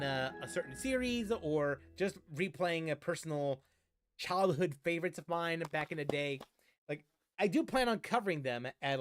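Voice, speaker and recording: A young man hosting a gaming channel, talking casually and rambling with many fillers and restarts. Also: a little high. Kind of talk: casual unscripted vlog talk.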